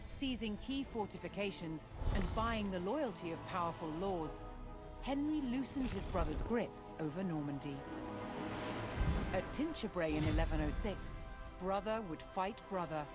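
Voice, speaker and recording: Woman narrating history over loud music. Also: mid-range voice, lively intonation. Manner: measured, dramatic storytelling. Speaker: documentary narrator. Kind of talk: scripted historical documentary narration. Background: loud cinematic background music.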